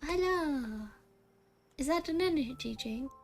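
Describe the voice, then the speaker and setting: Woman, casual game livestream, giggly reactions, slow pace, faint music behind. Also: sits high, expressive. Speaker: streamer.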